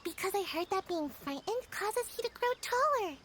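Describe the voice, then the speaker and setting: A woman's voice: extremely high pitch, expressive, moderate pace, heavy background noise. A woman voicing a sleepy, timid little girl in a video game cutscene, earnest and subdued. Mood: glum. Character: drowsy, timid little girl who dozes off easily. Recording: game music behind the voice.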